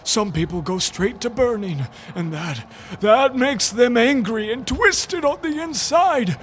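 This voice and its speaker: A man playing a pompous, excitable elderly wizard in a comedic fantasy audio drama, theatrical, sarcastic and long-winded. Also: very high-pitched, measured pace, a little hiss or hum, heavily acted. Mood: exasperated. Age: older.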